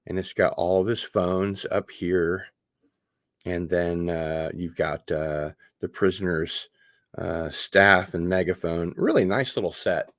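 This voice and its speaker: A man's voice: sits low, no echo, clean studio sound. A man hosting a casual unboxing livestream, rambling along with wry asides. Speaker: host of an online show.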